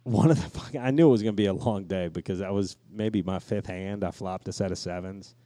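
A middle-aged man with a fairly low voice in a dry, close recording. He talks like a podcast co-host among friends, telling stories loosely, swearing freely and repeating words to make his point. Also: a little fast, mellow, no echo. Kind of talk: casual podcast banter and storytelling.

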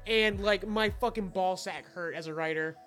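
A man's voice: sits high, moderate pace, over music. A man co-hosting a podcast, giving casual, opinionated review chat in an emphatic, repetitive way with lots of swearing. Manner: emphatic, profane, repetitive griping. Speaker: podcast co-host.

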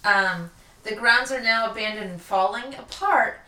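A woman's voice: fairly high pitch, a little slow, sharp tone. A woman co-hosting a podcast in casual, chatty storytelling, informal and jokey. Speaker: podcast co-host. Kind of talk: casual chatty storytelling about local history. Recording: roomy echo, distant from mic.

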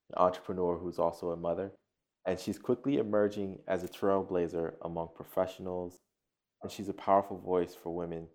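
A man's voice: sits low, a little mellow. A man hosting a podcast interview in an upbeat way, often repeating his words. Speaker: podcast host.

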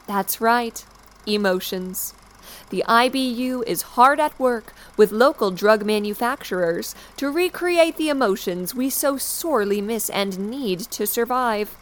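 An actress playing the composed president of a dystopian state, giving a polished, formal, measured address in an audio drama. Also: female voice, on the high side, expressive. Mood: official welcome. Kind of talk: formal scripted official address.

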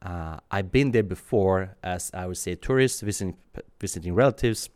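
Low-voiced halting man being interviewed. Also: darkish tone, clean tone, no room sound. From Sweden. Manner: thoughtful, halting, many fillers and restarts. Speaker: guest. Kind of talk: interview answers.

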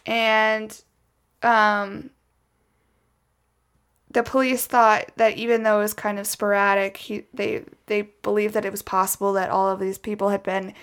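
A woman's voice: mid-range voice, flat delivery, measured pace, fairly bright tone, clear, resonant tone. A woman telling a true crime story casually from her notes, as a podcast host, with chatty, wry asides.

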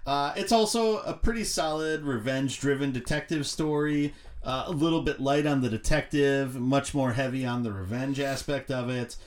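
Guy with a medium-pitch voice on a movie podcast, casual, explains stuff and hedges a bit. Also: normal speed. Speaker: podcast co-host.

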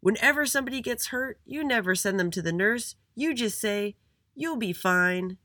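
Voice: medium pitch, expressive, moderate pace, no echo, clean studio sound, voice pushed up. A storyteller voicing indignant schoolchildren who snap and accuse in a children's story. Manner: shouting, snappy, accusing. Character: indignant schoolchildren confronting a bossy monitor.

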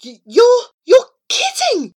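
A woman voicing an anxious, excitable schoolboy who pleads and exclaims in a children's story. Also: high voice, measured pace, pitched above the performer's own voice. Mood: amazed, overjoyed.

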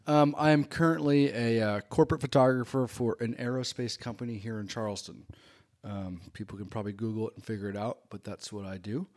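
A man's voice: mid-range voice, fairly even intonation, brisk, a little mellow. Friendly self-deprecating man in his forties. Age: 40s. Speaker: interview guest, photographer. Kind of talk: casual conversational interview.

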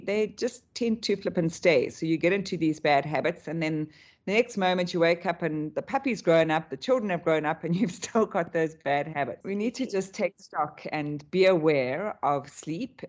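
A woman's voice: low-pitched, a little mellow, dull audio. A woman coach, a guest on a podcast, explaining her subject in an enthusiastic, rambling way. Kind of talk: podcast interview.

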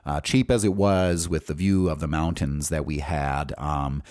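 A man's voice: rumbling low, steady tone, unhurried, a little mellow. An American man hosting a podcast, telling stories from his own life in a casual, candid, rambling way with some swearing. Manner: rambling, candid, profane, thinking aloud. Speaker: podcast host. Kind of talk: casual autobiographical storytelling.

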